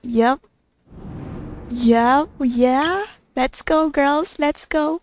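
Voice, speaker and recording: A woman's voice: sits high, extremely slow, a little hiss or hum. A woman streamer giving casual gameplay commentary, thinking aloud and muttering reactions. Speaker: game streamer.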